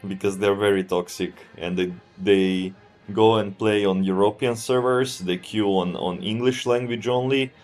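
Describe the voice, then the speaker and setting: A man with a Serbian accent, a streamer thinking aloud as he plays a strategy game in a relaxed, halting way and answering chat. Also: lowish voice, soft background music. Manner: relaxed, thinking aloud, often trails off and restarts. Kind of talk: live gameplay commentary.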